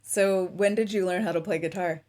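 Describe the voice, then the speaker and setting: A woman's voice: fairly low pitch, steady tone, normal speed, a little mellow. A woman hosting an interview, asking questions and offering short, encouraging affirmations. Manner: encouraging, brief affirmations, laughs along. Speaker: interview host. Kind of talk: casual interview.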